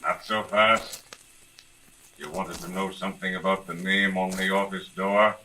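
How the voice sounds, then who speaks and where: Slow, ominous, forceful older man. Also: deep voice, fairly even intonation, heavily acted. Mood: ominous, commanding. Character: stern unseen older father, mysterious avenging Nemesis. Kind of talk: 1930s radio acting. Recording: noisy, clicking vintage radio recording.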